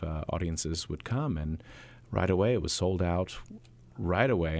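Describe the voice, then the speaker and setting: A male stage actor answering interview questions, halting, with many fillers and restarts. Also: deep voice, a little mellow, no room sound.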